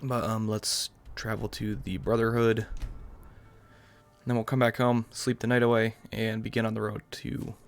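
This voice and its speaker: A man's voice: fairly low pitch, little pitch movement, normal speed, creaky. A tired young man giving casual, subdued, rambling let's-play commentary late at night. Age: young adult. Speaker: gaming YouTuber.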